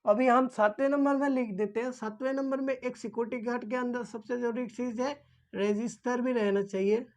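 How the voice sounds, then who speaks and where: High-voiced woman teaching informally. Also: steady tone, brisk, darkish tone. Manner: repetitive, asks and answers own questions. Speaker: instructor. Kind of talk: informal explanatory lesson.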